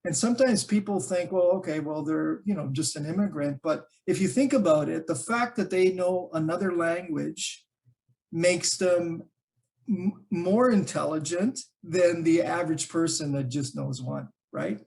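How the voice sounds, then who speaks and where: Gravelly-voiced man, chatty interview guest. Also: on the high side, a little slow, darkish tone. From Canada. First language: Calabrese Italian dialect. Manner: thinks aloud with many fillers and restarts. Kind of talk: relaxed conversational interview. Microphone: video call.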